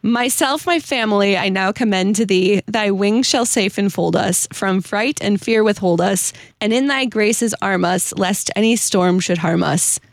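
A woman's voice: mid-range voice, a little slow, few pauses, no room sound, studio quality. An American woman hosting a casual chat, informal and giggly, who also reads verses aloud. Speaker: host presenting a hymn-writer's story.

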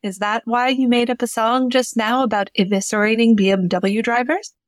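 Woman doing a wry imaginary ghost friend in a comic radio play, kinda high voice, dry close sound. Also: fairly even intonation, moderate pace, no room sound, lightly acted. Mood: teasing, counseling. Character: wry, matter-of-fact female friend, an imaginary ghostly visitor. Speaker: podcast co-host. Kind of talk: amateur comic radio theater acting.

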